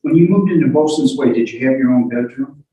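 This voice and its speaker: A man's voice: medium pitch, a little fast, warm tone. A man speaking as a lawyer in a courtroom, cross-examining a witness with short, probing questions. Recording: distant, very echoey courtroom audio.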